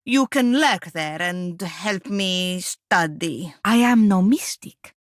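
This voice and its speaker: An actress playing a haughty, sharp-tongued female wizard in a video game, with dry, impatient, formal delivery. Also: fairly low pitch, expressive, slow, dry recording. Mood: dry, aloof. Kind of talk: video game character dialogue and battle barks.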